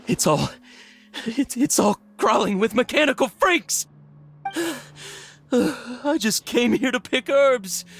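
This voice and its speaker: A man's voice: high voice, a bit bright, full character voice. A man voicing a shaken young herb gatherer in a video game, stammering and jumpy with erratic laughter. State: agitated.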